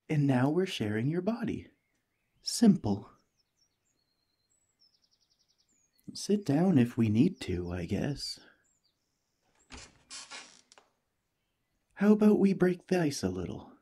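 A man voicing a cheerful, friendly alien parasite in a gentle, chatty ASMR roleplay, eager and reassuring. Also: lowish voice, husky, full character voice. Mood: friendly, earnest. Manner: gentle, chatty, playfully earnest.

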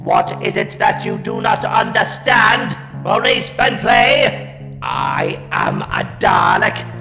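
An actor playing a ruthless alien war machine in a sci-fi audio drama, barking staccato commands through a robotic filter. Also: male voice, high-pitched, animated, gravelly, full character voice. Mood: contemptuous. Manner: staccato, drawn-out, commanding barks. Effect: harsh robotic ring-modulated filter. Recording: echoey, music behind.

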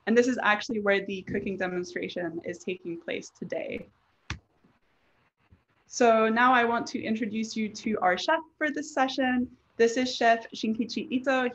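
Woman presenting, slightly dull lo-fi audio. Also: mid-range voice. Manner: explanatory, informal. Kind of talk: conversational presentation over slides. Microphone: online video presentation. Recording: dull, lo-fi online-call sound.

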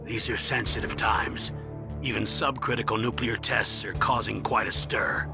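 An actor playing an older military colonel in a video game, grave, formal and measured, guarded in what he says. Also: lowish voice, steady tone, gravelly, loud music behind the voice. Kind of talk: video game radio briefing acting.

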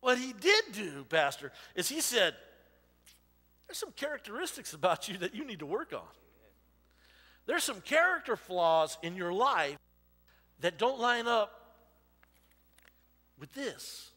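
Loud, bright-voiced preacher in a church hall. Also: male voice, high voice, a little slow, long pauses. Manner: loud and animated, walking the stage and aisles. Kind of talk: informal sermon with audience banter. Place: church sanctuary with a stage. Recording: long reverb of a church hall.

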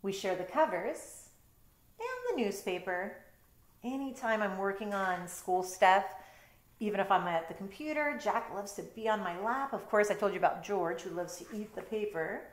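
A woman's voice: mid-range voice, expressive, moderate pace. A middle-aged woman reading a picture book aloud and adding chatty, explanatory asides as she goes. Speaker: storytime reader, teacher. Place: bedroom at home. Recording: roomy indoor echo.

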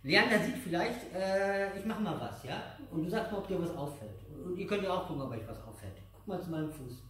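A man's voice: sits high, lively intonation, fairly quick, fairly bright tone. A middle-aged man teaching exercises step by step in simple, repeated phrases, patient and encouraging. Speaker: aikido instructor. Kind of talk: hands-on exercise instruction to a child and viewers. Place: large dojo room with mats, indoors. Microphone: distant camera microphone. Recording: echoey hall, far from the mic.

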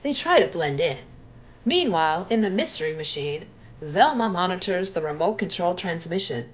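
An older woman reading a children's picture book aloud in an expressive, dramatic way. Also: lowish voice, moderate pace, a little hiss or hum.

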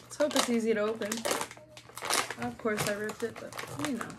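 A woman's voice: on the high side, moderate pace, very noisy. A woman in her thirties from the United States, chatting enthusiastically as she tastes and reviews snacks with a co-host, talking between bites. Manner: chatty, enthusiastic, talks while eating. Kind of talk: casual snack tasting chat. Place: indoor room before a glitter backdrop.

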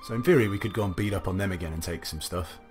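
A young man casually commentating a strategy game, thinking aloud, his voice a little gravelly from a recent cough. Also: sits low, racing. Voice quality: slightly gravelly. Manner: musing aloud, chatty, self-talking. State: recovering from a cough, slight gravel. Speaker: let's play commentator. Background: faint game music.

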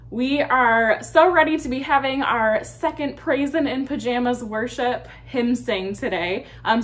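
A young woman speaking as an intern pastor, addressing her congregation in a friendly, upbeat way in a home video. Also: medium pitch. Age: young adult. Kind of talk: church announcements and prayer to camera. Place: living room indoors. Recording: home video with background room noise.

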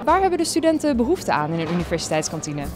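A woman's voice: medium pitch, over music. A young woman reporting for a news segment and interviewing people in a university canteen with a handheld microphone. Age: young adult. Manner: lively, quick questioning. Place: university canteen and outdoor campus.

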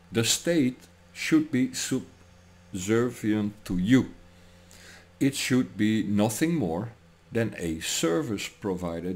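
A man's voice: fairly low pitch, a little mellow. A man in his sixties with a Dutch accent, rambling through a commentary vlog at his desk and often tagging his points with "huh?". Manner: digressive, tags lines with "huh?", laughs between thoughts. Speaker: political commentary vlogger. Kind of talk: rambling monologue. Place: home room, indoors. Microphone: webcam at desk.

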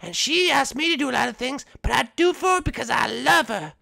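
Man doing a squeaky little mushroom guard. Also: animated, brisk, creaky, bright, forceful tone, no echo, studio quality, full character voice. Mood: self-assured. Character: small, self-assured, devoted mushroom guard.

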